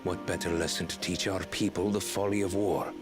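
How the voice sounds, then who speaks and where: Man acting a deep-voiced, stern warrior prince. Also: flat delivery, fairly quick, close to an everyday voice. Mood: cold, grim. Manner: terse, solemn. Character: stern, cold warrior prince, proud and grim. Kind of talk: video game cutscene acting. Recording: game music under the voice.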